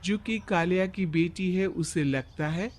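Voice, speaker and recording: A man's voice: high-pitched, unhurried. A man narrating a scripted moral story as its storyteller.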